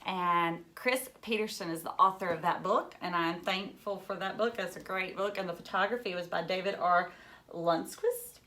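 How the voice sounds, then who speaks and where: A woman's voice: fairly low pitch, normal speed, sharp tone. Woman reading aloud and explaining to children. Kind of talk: children's read-aloud with explanatory asides and questions.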